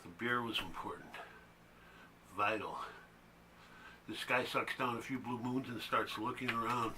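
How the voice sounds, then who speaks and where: A bitter, sardonic loner played by a man tells a gritty barroom tale in a theatrical monologue. His voice is mid-pitched and strikingly tense and sharp, with faint noise in the recording. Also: measured pace, low-quality recording. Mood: sardonic, weary. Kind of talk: dramatic monologue from a one-act play.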